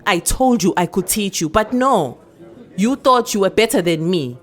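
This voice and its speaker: A woman voicing a brash, domineering South African tavern woman, loud and brazen, in an acted short story reading. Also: medium pitch, animated, normal speed, voice pushed up, natural voice. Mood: scornful. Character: brash, domineering women of a township drinking house.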